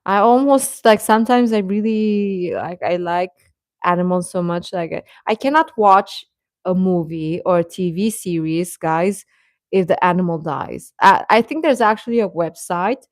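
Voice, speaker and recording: A woman's voice: fairly low pitch, moderate pace, no echo, studio quality. A young adult woman hosting a live stream, chatting informally with her viewers and often chuckling as she talks.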